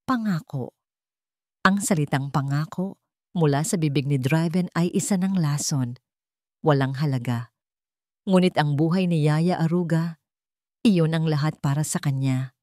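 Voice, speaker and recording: A woman's voice: low voice, moderate pace, long pauses, darkish tone, no room sound, clean studio sound. A woman reading a scripted dramatic story as its narrator.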